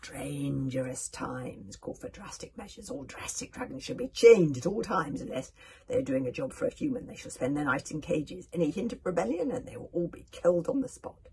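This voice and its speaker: A woman's voice: mid-range voice, sing-song, fairly quick, vocal fry, whispered. A woman voicing a brutal, merciless male villain king from a storybook, sneering and gloating as he declaims with menace. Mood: gloating tyranny.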